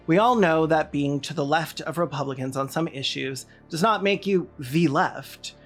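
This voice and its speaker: Sardonic video essay person, medium pitch, scripted political rant, bit of music behind. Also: normal speed.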